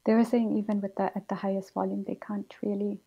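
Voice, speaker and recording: A woman relays viewer feedback in short, plain remarks. Her voice is husky and gravelly, with a mellow tone. She sounds distant and muffled, picked up off-mic. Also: mid-range voice, flat delivery, fast talker. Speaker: livestream moderator.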